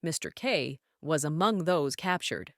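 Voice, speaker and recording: An actress playing a polished, emphatic news anchor delivering a mock broadcast in an audio drama. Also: female voice, sits low, expressive, unhurried, no echo, studio quality. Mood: urgent, professional.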